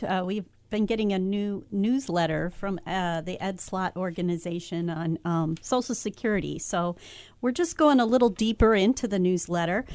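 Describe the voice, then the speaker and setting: Woman, mid pitch, dry close recording, steady radio co-host, explanatory. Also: no echo. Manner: steady, explanatory, with some fillers. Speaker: financial planning co-host. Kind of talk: radio talk show discussion.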